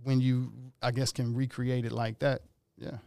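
Casting: relaxed, slangy male musician, gravelly edge, close dry sound. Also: medium pitch, moderate pace, no echo. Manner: laid-back, rambling, slangy. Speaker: musician guest. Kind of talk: casual interview talk.

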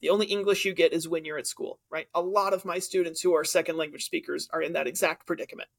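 Male podcast co-host with a high voice who argues his case with examples. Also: steady tone, fast, vocal fry. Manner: argumentative, explains with examples. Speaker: teacher. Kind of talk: casual debate chat.

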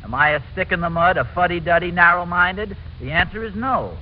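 An actor playing a stubborn, chatty suburban husband who keeps justifying himself, in old-time radio sitcom style. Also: male voice, high-pitched, fairly quick, close to an everyday voice. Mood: insistent, defensive. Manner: self-justifying, answers his own questions. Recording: muffled, noisy old radio recording.

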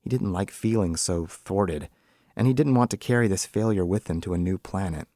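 Low-voiced man reading a short story. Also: normal speed, mellow, no echo, clean studio sound. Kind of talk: literary story narration.

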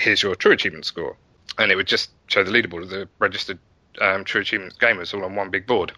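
Bright, low-pitched man telling his story. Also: no echo, poor audio. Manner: self-deprecating, explanatory. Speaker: interview guest, website founder and developer. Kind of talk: casual interview storytelling.

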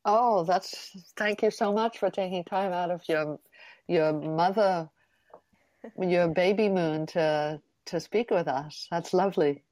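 A woman hosting a podcast interview, speaking in a gentle, earnest way. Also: lowish voice, slow. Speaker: podcast host.

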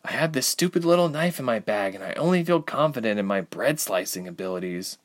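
A man's voice: medium pitch, expressive, brisk, pitched above the performer's own voice, lightly acted. A man voicing an anxious, reluctant, homesick young woman in an audiobook, with a plaintive, sighing manner. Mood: dejected. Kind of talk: audiobook character voice.

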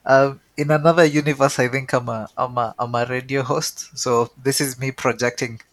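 Relaxed chatty man, dry sound. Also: medium pitch, normal speed, no room sound. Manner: relaxed, chatty, repeats words as he thinks. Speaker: podcast co-host. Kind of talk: casual chat.

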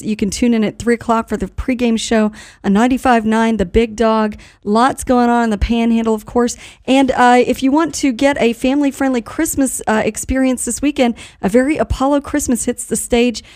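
A woman's voice: fairly high pitch, darkish tone, no room sound, clean studio sound. A woman hosting a radio show, presenting items and announcements to listeners.

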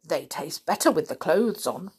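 A woman voicing a huge, greedy, wicked male crocodile in a storybook, boastful and savouring the words slowly. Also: on the low side, animated, darkish tone, breathy edge. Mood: gloating.

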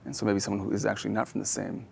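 A man hosting a podcast, thinking aloud in halting, searching sentences full of fillers and restarts. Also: fairly low pitch, steady tone, gravelly, mellow, a little hiss or hum. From Israel. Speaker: podcast host. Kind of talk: reflective conversational interview.

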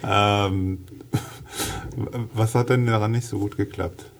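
A man's voice: lowish voice, steady tone, slow pace, a little hiss or hum. A man hosting a casual talk show, asking questions in a hesitant way and repeating words while he searches for them. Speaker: podcast host. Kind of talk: casual interview chat.

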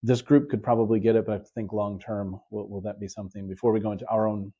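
A man's voice: fairly low pitch, level tone, fast, breathy edge, narrow, tinny audio. A man hosting a podcast and talking casually as a teacher, often repeating words as he thinks. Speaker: podcast host and high school teacher. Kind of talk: casual conversation about teaching.

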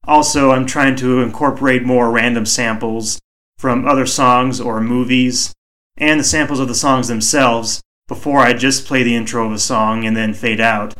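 Male solo podcast host, mid-pitched, soothing and measured, home mic. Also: fairly even intonation, bright, forceful tone. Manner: measured, reflective, deliberately slow. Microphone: home microphone.

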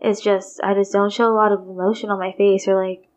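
A woman in casual chat who speaks in a flat, monotone, understated way. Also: mid-range voice, brisk.

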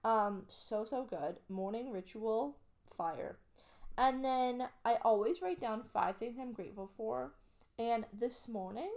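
Chatty Canadian woman with gravelly fry. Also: a little high, darkish tone. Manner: chatty, upbeat, lots of fillers. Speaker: podcast co-host. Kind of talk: casual chat.

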